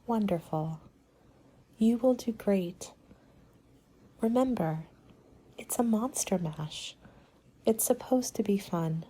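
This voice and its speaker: A woman playing a courteous, helpful party planner in a soft-spoken ASMR roleplay, with a measured, polite, advisory manner and soft, breathy delivery. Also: medium pitch, animated. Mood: calm, helpful.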